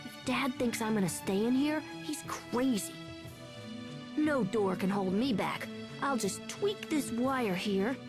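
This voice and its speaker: A woman's voice: on the high side, slow pace. A woman playing a brash, headstrong young boy with a caring big-brother streak in a video game dub. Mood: sulky, defiant. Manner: casual, lively, impulsive. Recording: music under the voice.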